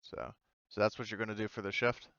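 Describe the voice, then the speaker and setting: A man with a low, clean voice acts as game master for a tabletop game. He builds the scene haltingly, in hesitant pieces. The sound is dry and a little dull, as on a voice call. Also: little pitch movement, fairly bright tone, clean tone, no echo. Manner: halting, hesitant, pieces sentences together gradually. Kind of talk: improvised tabletop game narration. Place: online voice call.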